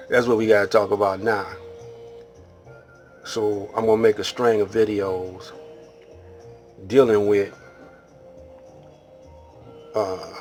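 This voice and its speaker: An American man hosts a casual, unscripted monologue in a low voice. He takes his time, speaking very slowly and haltingly in rambling street talk. Faint music plays behind him. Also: little pitch movement, darkish tone. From Detroit, Michigan, United States. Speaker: video host.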